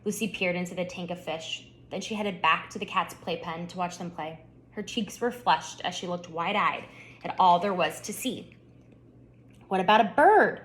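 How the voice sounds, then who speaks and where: A woman author narrating her children's book at storytime, with expressive, deliberate phrasing for young listeners. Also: lowish voice. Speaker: children's author reading aloud.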